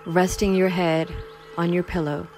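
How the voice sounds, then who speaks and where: A woman guiding a sleep meditation in a slow, soothing, unhurried way with long pauses. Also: lowish voice, little pitch movement, over music. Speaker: meditation guide. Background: Tibetan singing bowl tones.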